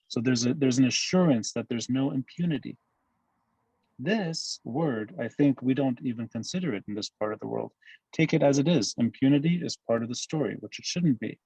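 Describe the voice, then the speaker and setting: A man from Lebanon speaking as a guest on a podcast interview, making emphatic, measured points. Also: medium pitch, darkish tone, no room sound, low-quality recording. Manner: measured, emphatic analytical speech. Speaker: guest commentator.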